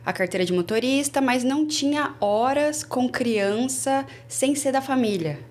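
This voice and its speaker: A Brazilian woman in her twenties, a former au pair, telling her story in a casual interview with lively restarts. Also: sits high, a little slow. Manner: chatty, animated, many restarts.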